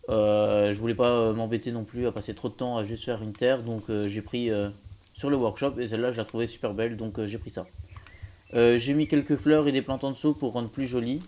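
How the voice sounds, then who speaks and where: A man giving a casual walkthrough commentary of a game build as host, speaking in a hesitant, halting way. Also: medium pitch, fairly even intonation, warm tone. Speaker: host presenting his zoo build.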